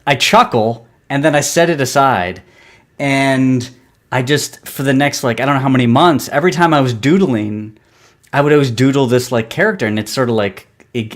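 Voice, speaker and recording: Rambling man telling a story, full of "like". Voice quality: describes his own voice as high. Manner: rambling, filler-heavy storytelling with frequent "like". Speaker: comic writer and artist, guest. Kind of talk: casual live-stream interview.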